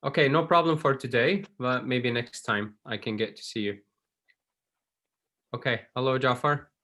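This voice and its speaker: A man's voice: medium pitch, moderate pace, pushed and bright, a little hiss or hum. A man teaching an online English class over a video call, friendly and patient, with loose fillers between thoughts.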